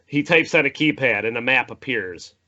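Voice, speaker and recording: A man's voice: medium pitch, animated, normal speed, sharp tone, dull audio. A man narrating a screenplay at an informal table read, with a theatrical, playful delivery. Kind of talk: dramatic scripted narration, informal with asides.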